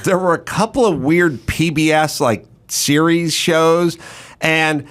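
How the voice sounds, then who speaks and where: A man's voice: fairly high pitch, takes it slowly, fairly bright tone. A middle-aged man hosting a podcast in a studio, telling rambling, digressive stories in casual chat on a studio mic. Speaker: podcast host. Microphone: studio mic with headphones.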